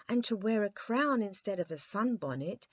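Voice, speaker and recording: A woman's voice: medium pitch, animated, moderate pace, no echo, dull audio, pitched above the performer's own voice, lightly acted. A woman voicing a spirited, frank, cheerful little girl in a children's storybook reading. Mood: wondering.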